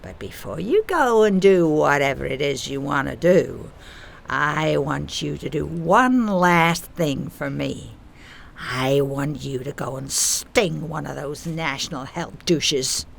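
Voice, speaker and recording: A woman's voice: low-pitched, slow, vocal fry, slight background noise, heavily acted. A woman playing a scheming, haughty female corporate villain in an audio play, pompous and theatrical in manner. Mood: sinister scheming.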